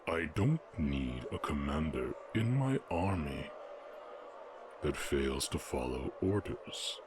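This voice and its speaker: A man voicing a stern, imposing orc warchief in a fantasy audio roleplay, speaking in deliberate, imperious proclamations. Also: a bass voice, sing-song, slight background noise, heavily acted. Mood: cold menace.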